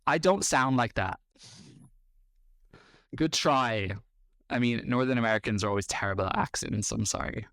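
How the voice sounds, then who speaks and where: A man's voice: mid-range voice, moderate pace, pauses often, a bit bright, no echo, studio quality. An Irish man in his thirties, a podcast host reacting to clips in a blunt, combative and sarcastic way. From Ireland. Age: 30s.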